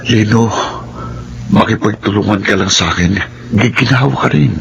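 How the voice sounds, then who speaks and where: Domineering, scheming rich son, noisy recording. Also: male voice, fairly low pitch, normal speed, creaky, fairly bright tone, highs cut off. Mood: falsely friendly, coaxing. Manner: domineering, threatening. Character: scheming, hot-tempered rich son plotting against his father. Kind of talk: Filipino radio-style melodrama acting.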